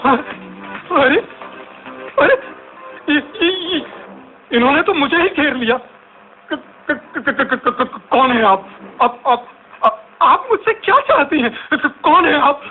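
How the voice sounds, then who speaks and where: A very high-pitched, frightened man in a radio drama, stammers and repeats himself in astonishment. He draws his words out slowly, gasping exclamations. The sound is tinny and phone-like. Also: expressive, full character voice. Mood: awed, frightened. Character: frightened, astonished human man facing terrible apparitions. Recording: tinny phone-like audio with music.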